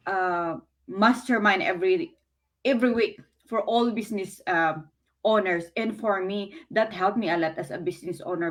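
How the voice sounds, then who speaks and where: A woman from the Philippines, speaking English as a later language, sharing her business experience in a casual, earnest way with many fillers and pauses. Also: medium pitch. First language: Filipino language. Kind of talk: casual testimonial talk. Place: Canada.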